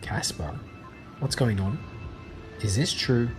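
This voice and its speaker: An actor playing a troubled hero in a scripted audio drama. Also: male voice, medium pitch, expressive, takes it slowly. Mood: hurt, bewildered. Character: troubled male hero uncovering his false life. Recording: voice under loud background music.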